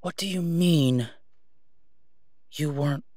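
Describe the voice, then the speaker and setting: A man's voice: a little high, takes it slowly, dark tone, no room sound. A man playing a brash, sarcastic masked vigilante with dry, taunting banter in an audio roleplay. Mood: weary, irritated.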